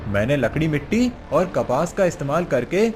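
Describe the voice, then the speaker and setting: A man's voice: a little high, very animated, moderate pace, darkish tone. A man playing a poor, determined village father in a cartoon story, speaking in an earnest, declaiming way. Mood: earnest, reassuring. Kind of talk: cartoon story character acting. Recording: music behind the voice.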